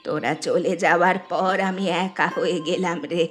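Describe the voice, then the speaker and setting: A woman voicing an elderly village mother-in-law in a cartoon, weary and humbled, with a slow, plaintive, confessional way of talking. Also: on the low side, steady tone, faint music behind, natural voice. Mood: sorrowful. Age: older. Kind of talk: Bengali moral cartoon dialogue.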